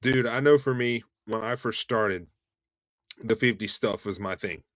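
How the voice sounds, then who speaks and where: A young man hosting a casual interview chat over a video call, relaxed and chatty, often repeating words and saying "man" and "dude". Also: lowish voice, measured pace, dry recording, studio quality. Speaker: host and Elvis tribute artist.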